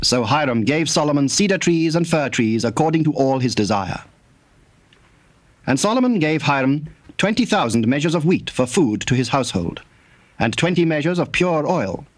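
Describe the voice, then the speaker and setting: A man narrating scripture from a script in a formal, measured manner. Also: mid-range voice, animated.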